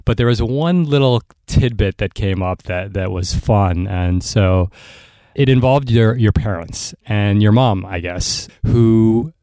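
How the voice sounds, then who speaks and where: A man hosting a podcast, reading a scripted intro and then interviewing a guest, with a measured manner and some hesitant restarts. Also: lowish voice, mellow, no room sound. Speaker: podcast host.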